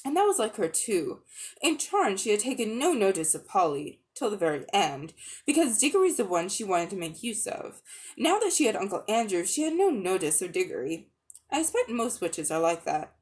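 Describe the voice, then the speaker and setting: A woman reading a children's story aloud in an expressive, scripted narrator's style. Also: medium pitch, normal speed.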